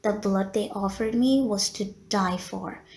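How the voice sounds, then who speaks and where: A woman's voice: mid-range voice, measured pace, a little mellow, roomy sound, close to an everyday voice. A woman playing a polite, teasing female vampire who runs a blood bank, in an audio roleplay spoken to the listener. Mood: wistful, chatty. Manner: genteel, courteous, teasing. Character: polite, flirty female vampire running a blood bank, playful and long-suffering. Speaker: medical center receptionist.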